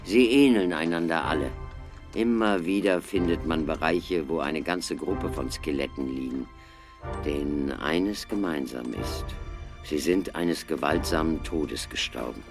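A man playing a learned, sombre doctor in a German radio drama, speaking in a measured, lecturing manner. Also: extremely low pitch, music in the background. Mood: sombre, explaining.

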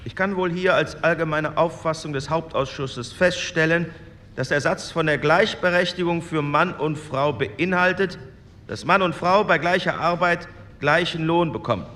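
A man's voice: on the high side, normal speed, pushed and bright, in a large hall. An actor playing a 1940s committee chairman who calls votes in a formal, procedural way. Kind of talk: formal parliamentary proceedings, radio play.

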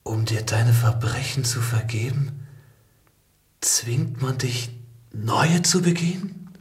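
An actor playing a proud, idealistic imprisoned revolutionary man in a German radio play, speaking earnestly and deliberately. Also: mid-range voice, expressive. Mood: shaken, grave.

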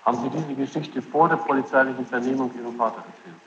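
A man's voice: medium pitch, quick pace. A man cross-examining a witness with direct, insistent questions, recorded in a large courtroom on old tape. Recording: echoey hall, dull noisy archive tape.

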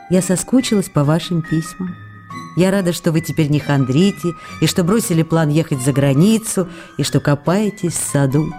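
Actress as a fond, playful letter-writing actress in a radio drama; low, mellow voice. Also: female voice, lightly acted. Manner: playful, affectionate, wistful. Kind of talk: radio play letter reading. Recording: studio radio play with soft music.

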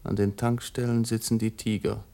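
An actor playing a detached, deadpan narrator of motorway observations in an experimental radio play. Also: male voice, lowish voice, moderate pace, gravelly.

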